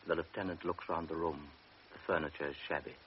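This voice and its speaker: Very deep male narrator, measured, hissy dull old recording. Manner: measured, steady. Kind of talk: radio drama narration.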